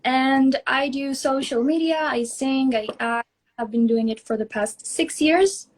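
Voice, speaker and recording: A woman's voice: sits high, a little slow, a little hiss or hum. A woman in her early twenties from Israel, giving casual answers as an interview guest. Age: early 20s. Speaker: guest, actress and singer.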